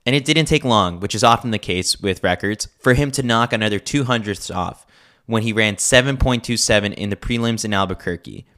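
A man's voice: fairly low pitch, fairly quick, fairly bright tone. An American man narrating a scripted sports newsletter as a podcast host.

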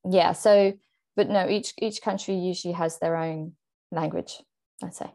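A woman's voice: lowish voice, fairly even intonation, normal speed, gravelly, warm tone. A young woman from France, an actress, chatting casually in an interview with frequent fillers and restarts. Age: young adult. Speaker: guest, actress.

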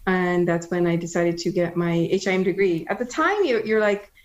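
A woman's voice: on the low side, moderate pace. Woman guest, slightly dull audio. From born in Guyana, moved to Florida as a child. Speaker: podcast guest. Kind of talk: casual interview chat.

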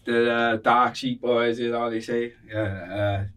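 A man's voice: mid-range voice, flat delivery, a little slow, a bit bright, far from the mic. A man from Cardiff with a Welsh accent, chatting casually and telling stories in informal, slangy, repetitive phrasing as a podcast guest. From Cardiff, Wales. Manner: informal, slangy, repeats phrases, frequent 'like' and 'bro'. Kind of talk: casual conversational storytelling.

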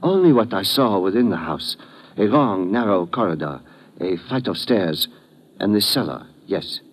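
An actor playing a dignified, wary doctor, speaking formally and precisely in old radio drama style. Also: male voice, fairly low pitch, big pitch swings, normal speed, slight background noise, low-quality recording. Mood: careful recall. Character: dignified, wary male doctor, kidnap victim. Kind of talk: 1950s radio drama acting.

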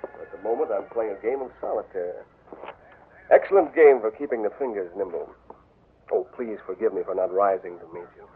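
An actor playing a sly, mocking gambler and blackmailer in a 1940s radio drama. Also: male voice, fairly low pitch, a little fast, a little hiss or hum, lightly acted. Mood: scheming. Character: smooth scheming male gambler blackmailer. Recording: tinny old radio broadcast.

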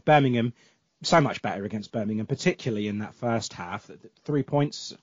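Man, podcast host, husky, lowish voice, dry dull recording, sore throat, rambling chatty delivery. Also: fairly quick, darkish tone, no room sound. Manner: chatty, filler-heavy, self-correcting. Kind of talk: casual football podcast chat.

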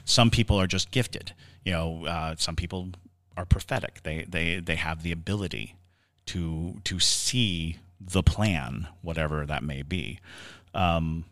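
A man co-hosting a podcast, explaining things conversationally in a halting, reflective way. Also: low-pitched, fairly bright tone. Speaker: podcast host. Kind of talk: conversational podcast discussion of the paranormal.

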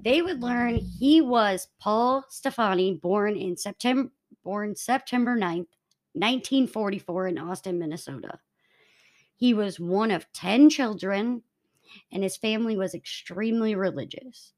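Young woman podcast co-host, mid-pitch, narrating a true-crime case from notes, a few restarts. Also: measured pace, darkish tone.

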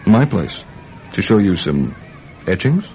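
An actor playing a brisk, wry intelligence agent in an old-time radio drama, dry and probing in manner. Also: male voice, on the low side, very animated. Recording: muffled, noisy old radio recording.

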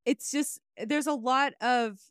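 Excitable young woman with a smooth voice. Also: high voice, slow, dry recording, studio quality. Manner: swears freely, apologetic, excitable. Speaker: podcast host presenting research. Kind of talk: casual chat.

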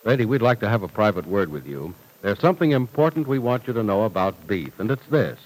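A male announcer from a vintage radio broadcast, reading scripted copy. His lowish voice moves in lively, wide pitch swings. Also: brisk, low-quality recording.

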